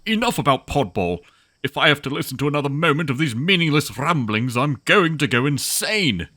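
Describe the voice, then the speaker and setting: A man's voice: a little high, sing-song, brisk, bright, forceful tone, no room sound, clean studio sound, exaggerated voice. A man playing a pompous, greedy corporate executive in a sci-fi audio drama, haughty and condescending in formal wording. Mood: disdainful, exasperated.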